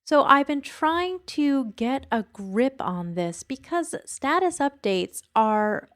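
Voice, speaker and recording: A woman's voice: sits high, big pitch swings, slow pace, a bit bright, no echo, studio quality. A woman reading scripted narration for podcasts and radio, as a host and reporter.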